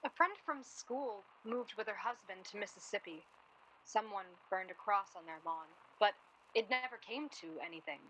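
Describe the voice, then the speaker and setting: A woman's voice: mid-range voice, moderate pace, fairly bright tone, a little hiss or hum, lightly acted, poor audio. A young woman playing a sardonic, blunt student in a naturalistic period radio drama, with a dry, needling way of talking. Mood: uneasy, defensive. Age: young adult.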